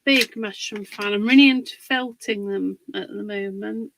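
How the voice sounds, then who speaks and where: A woman hosting a casual live craft demonstration, a little husky from a cold, chatting and greeting viewers by name. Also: medium pitch, moderate pace, noisy background. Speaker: craft livestream host.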